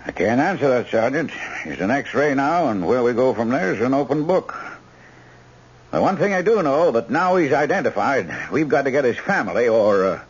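An actor playing a brisk, decisive hospital surgeon in a radio drama, with a commanding, clipped, pensive way of talking. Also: male voice, medium pitch, lively intonation, husky. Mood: businesslike. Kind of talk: 1970s radio drama acting. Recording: muffled old radio broadcast recording.

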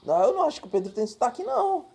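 A Brazilian man hosting a casual podcast, bantering in an excitable way and quick to laugh. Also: extremely high pitch, creaky. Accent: Brazilian Portuguese. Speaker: podcast host.

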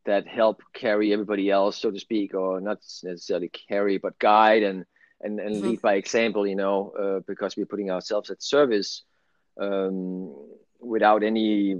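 A middle-aged man with a Danish accent and a fairly low voice speaks in a rambling, earnest, conversational way. The sound is dry and close, a little dull and lo-fi. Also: flat delivery, moderate pace, no room sound. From Denmark. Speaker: guest, spiritual channel. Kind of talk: casual spiritual conversation.

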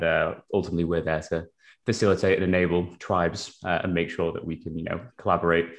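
A man speaking as an interview guest, explaining his engineering work in a casual, professional, conversational way. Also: sits low.

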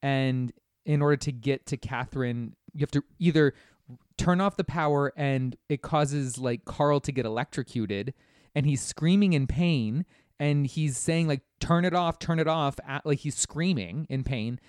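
Male podcaster, slightly high voice, casual and detailed recounting, very dry recording. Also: a little slow, a little mellow, smooth, dry recording. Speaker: podcast guest or host discussing a video game. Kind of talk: casual chat.

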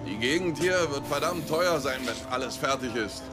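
A man's voice: mid-range voice, animated, normal speed, natural voice. An actor playing an easygoing, loyal male workman in a dubbed TV drama. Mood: casual, admiring. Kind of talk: German-dubbed TV series acting. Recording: loud music over the voice.

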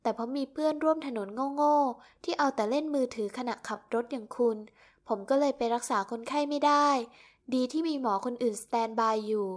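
An irritable young male doctor snaps clipped, cold reproaches in a storybook reading, as a woman narrator puts him on. The voice sits a little high and barely shifts from the reader's own. The tone is flat and scolding. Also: a little fast. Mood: angry.

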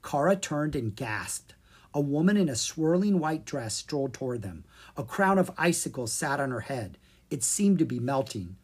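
A woman narrates a children's storybook in a low, slightly raspy voice. Her delivery is expressive and lively, with pitch that moves freely through the sentences. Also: normal speed. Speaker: storyteller reading a children's book.

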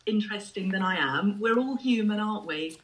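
An English-accented woman in her forties who was born in Ireland, speaking as a podcast guest. Her delivery is expressive and lilting, and she talks in a reflective, conversational way. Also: mid-range voice, fairly quick.

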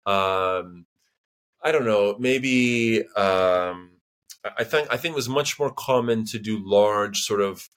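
A man's voice: lowish voice, slow pace, a bit bright, smooth. An American man chatting casually as a podcast guest, rambling and filling his sentences with "you know" and restarts. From United States. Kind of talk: casual conversation.

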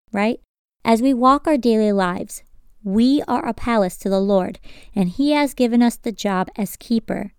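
Young woman podcast host, stuffy sinus voice, very clear and dry sound, earnest faith talk, asks listeners stuff. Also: fairly high pitch, a little mellow, no room sound. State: congested from sinus trouble.

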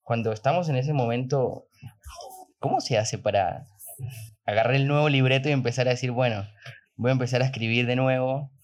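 A man's voice: medium pitch, steady tone, fairly quick. A man hosting a podcast in a casual, conversational way, thinking aloud in an informal, rambling manner. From outside Argentina, moved there. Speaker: podcast host.

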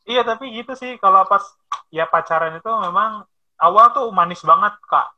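A young man in his twenties with a somewhat high voice tells stories in a relaxed chat and slips into quoted voices. The sound is dull and lo-fi. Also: normal speed. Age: 20s. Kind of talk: casual chat.

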